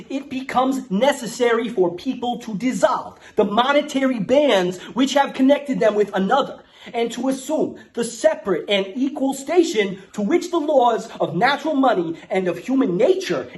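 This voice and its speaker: A man's voice: extremely high pitch, lively intonation, moderate pace. A man playing a brash, streetwise, foul-mouthed Bronx guy with a New York accent. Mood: mock-solemn, declaiming. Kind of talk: casual ranting and mock-solemn declaration reading.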